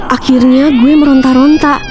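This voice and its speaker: A young woman narrating a scripted first-person ghost story in casual slang, chatty and expressive, talking straight to listeners. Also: high-pitched, moderate pace. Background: background music.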